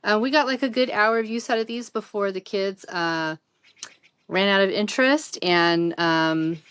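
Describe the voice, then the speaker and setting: A woman's voice: mid-range voice, moderate pace, a bit bright, clear, resonant tone, dry recording. Casual woman product reviewer, dry close sound. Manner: rambling, conversational, informal.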